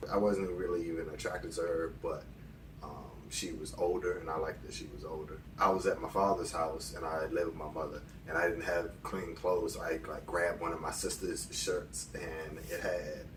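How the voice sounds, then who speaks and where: A man's voice: low voice, little pitch movement, moderate pace. An American man in his late twenties telling personal stories in a casual, candid, colloquial way as an interview guest. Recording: echoey room, distant mic, background noise.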